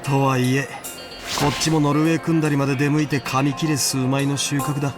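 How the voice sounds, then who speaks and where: An actor playing a brisk, officious and irritable male aide in a video game, giving clipped, deadpan orders and dry grumbles. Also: medium pitch, over music, close to an everyday voice. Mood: dry, grumbling. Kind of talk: Japanese video game voice acting.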